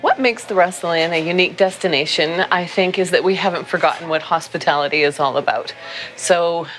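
A woman's voice: on the low side, fairly quick, a bit bright, soft background music. A middle-aged woman who works at a hotel, speaking in a friendly, informal way with some fillers during an interview in an indoor hotel lobby. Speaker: hotel marketing staff. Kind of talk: on-camera interview.

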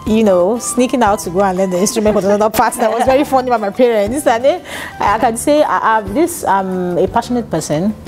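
Woman, Nigerian accent, thirties, animated and laughing, lapel mic, music playing underneath. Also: mid-range voice, brisk, few pauses. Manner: animated, laughs often, restarts sentences. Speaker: guest musician. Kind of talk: casual interview chat. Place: dark television studio set, seated in armchair.

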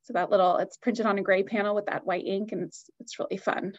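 A woman's voice: medium pitch, fairly even intonation, brisk, dull audio. A woman giving an upbeat, enthusiastic product presentation on a video call.

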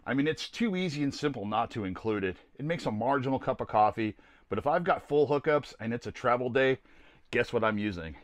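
A man's voice: medium pitch, lively intonation, a little fast, no echo, clean studio sound. An older American man giving a casual, plainspoken product review to camera in a folksy, rambling way. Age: older, around 60s. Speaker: host of a camping gear video series. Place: small camper trailer interior.

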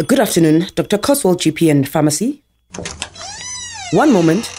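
An actress playing a friendly, brisk clinic receptionist in a radio drama, polite and businesslike. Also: female voice, on the low side, very animated, dark tone, slight background noise. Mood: polite, busy.

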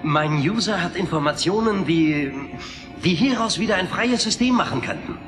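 An actor playing a determined young hero in a radio play, speaking with earnest urgency. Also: male voice, high voice, expressive, moderate pace. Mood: earnest, urgent. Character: heroic, determined young male warrior program. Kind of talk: dramatic radio play acting. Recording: echoey voice under loud music.

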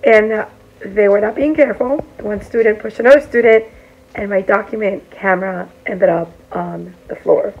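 A woman's voice: mid-range voice, normal speed, gravelly, muffled recording. Woman teacher vlogger, losing her voice; casual venting to a phone-selfie in a classroom. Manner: upset, venting. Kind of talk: casual classroom vlog recap. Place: classroom, indoors. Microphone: phone at arm's length.

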